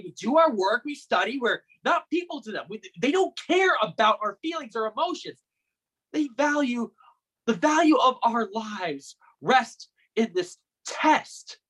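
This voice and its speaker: Young man as a stammering teen boy, bright, husky and very up-and-down. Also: sits high, sing-song, normal speed, heavily acted, rough recording. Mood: outraged. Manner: stammering, emotional, voice breaking into outbursts. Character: distraught teenage boy Noah, anxious student. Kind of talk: student audio drama.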